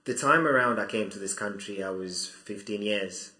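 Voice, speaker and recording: A young man from Zimbabwe talks through his life story in a low voice with a bright, forceful edge. He is reflective and hesitant, often restarting his sentences. The room around him sounds echoey. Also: normal speed. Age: 20s. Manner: thoughtful, hesitant with many restarts. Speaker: guest.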